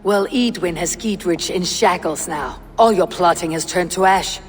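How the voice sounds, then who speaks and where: A woman's voice: fairly low pitch, moderate pace, slight background noise, close to an everyday voice. An actress playing a level-headed, sceptical Viking warrior woman in a video game, questioning and blunt. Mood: dry, resolved. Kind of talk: video game cutscene acting. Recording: long hall reverb, music behind.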